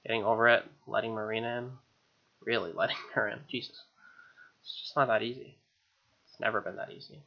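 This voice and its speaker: A man's voice: lowish voice, steady tone, a little fast, creaky, long pauses, lo-fi sound. A man hosting a let's play, reading game text expressively with wry, casual asides.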